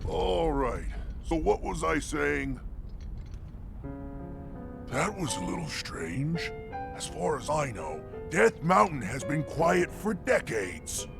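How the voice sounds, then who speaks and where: A man's voice: a little high, sing-song, slow, creaky, full character voice. An actor playing a jovial, hulking male Goron champion who eats rocks, with a boisterous folksy manner, in a video game cutscene with music behind. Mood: uneasy.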